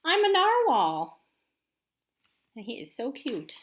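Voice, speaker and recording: A woman's voice: high voice, wildly swooping pitch, takes it slowly. A woman in her forties, an elementary teacher giving an informal lesson and read-aloud for children, explaining simply and expressively. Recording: roomy echo, faint background noise.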